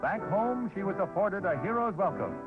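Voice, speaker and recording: A man's voice: high-pitched, expressive, moderate pace. A man with an American accent delivers dramatic scripted newsreel narration in a solemn, declamatory way. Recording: tinny vintage newsreel soundtrack, loud music.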